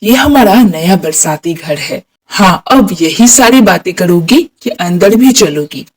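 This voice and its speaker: A performer voicing a poor, hardworking village farmer, gentle and devout, in earnest cartoon moral-story dialogue with plaintive exclamations. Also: medium pitch, animated, a little slow. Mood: pleased.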